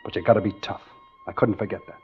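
Actor as a low-voiced scheming tough guy. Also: fast talker, lightly acted. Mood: hard-boiled, confiding. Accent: American. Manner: hard-boiled first-person storytelling. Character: tough scheming American engineer narrating his own swindle. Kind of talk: 1940s radio drama acting. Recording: dull 1940s radio recording with music.

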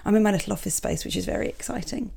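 A woman from England hosting a podcast with a croaky voice from a cold, chatting casually and reading out listener emails with self-deprecating asides. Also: mid-range voice, racing, a little mellow. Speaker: podcast host. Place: small home office.

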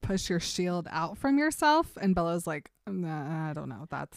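Smooth, dry-voiced young woman recapping. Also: on the low side, sing-song, dry recording. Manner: retells scenes with quick put-on quotes, laughing often. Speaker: podcast co-host. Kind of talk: casual book discussion chat.

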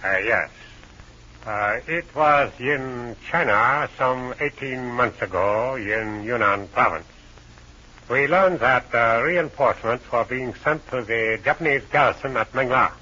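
Husky, formal Chinese colonel. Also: male voice, medium pitch, unhurried, bright, forceful tone. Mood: measured. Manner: formal, hesitant, courteous phrasing with frequent uh. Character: polite, dignified, measured Chinese army colonel. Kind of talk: 1940s radio adventure acting. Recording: muffled old radio recording.

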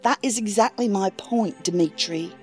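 An actress as a brisk, protective female operative in a sci-fi audio drama. She keeps a middle-pitched voice and directs her people firmly, with music clearly playing underneath. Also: darkish tone, natural voice. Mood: firm, pleading. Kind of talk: science fiction audio drama acting.

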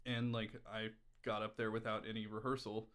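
A man's voice: lowish voice, little pitch movement, fairly quick, fairly bright tone. A man chatting casually as a podcast guest, telling personal stories in a candid, irreverent, rambling way. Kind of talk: casual conversational storytelling.

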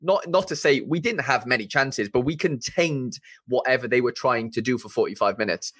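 A man's voice: medium pitch, normal speed, a bit bright, no echo, clean studio sound. A young Welsh man with a Welsh accent, a podcast guest chatting casually, rambling and eager with many fillers and restarts. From Wales.

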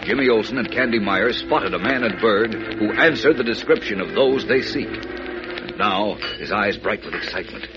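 A man's voice: lowish voice, normal speed. Male narrator for old-time radio adventure, dramatic scripted read, mid-range voice, strong music bed, dull fidelity. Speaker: announcer and narrator. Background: loud music.

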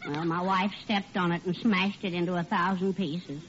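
A tiny meek henpecked man on old radio sitcom, high prim voice, dull hissy sound. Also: steady tone, moderate pace, fairly bright tone. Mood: plaintive. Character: meek, mousy, henpecked little male neighbor. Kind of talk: 1940s radio sitcom acting. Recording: muffled, noisy old radio recording.

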